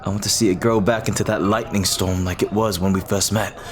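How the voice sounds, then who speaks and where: A man playing an earnest, lovestruck pirate captain in an ASMR roleplay, speaking haltingly and tenderly in formal, old-fashioned phrases. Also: fairly low pitch, fairly even intonation, natural voice. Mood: tender, pleading. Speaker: audio roleplay performer.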